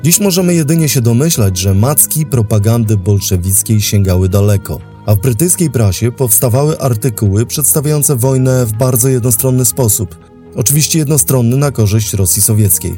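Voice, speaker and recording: A male host reads scripted documentary narration in a mellow, relaxed tone. His pitch sits in the middle, and faint music plays behind him. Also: moderate pace.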